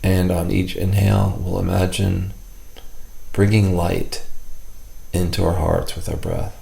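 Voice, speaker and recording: A man leading a guided meditation, speaking gently and unhurriedly in short phrases with long pauses. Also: low voice, level tone, creaky, poor audio.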